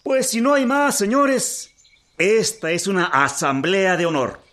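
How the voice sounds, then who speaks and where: A man's voice: high-pitched, measured pace, a bit bright. A man with a Mexican accent playing a formal revolutionary colonel in a radio drama, ceremonious and declamatory. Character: formal male revolutionary envoy, a colonel chairing talks.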